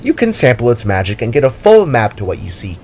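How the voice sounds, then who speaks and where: A man voicing a grateful robed magical bird creature in an audio drama, unhurried and matter-of-fact. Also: medium pitch, wildly swooping pitch, darkish tone. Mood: calm, grateful. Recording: music and rain under the voice.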